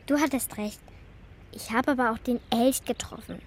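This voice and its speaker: A woman's voice: a little high, moderate pace, a little hiss or hum, natural voice. A woman playing a brave, earnest and insistent young girl in a children's radio play. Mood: urgent, determined.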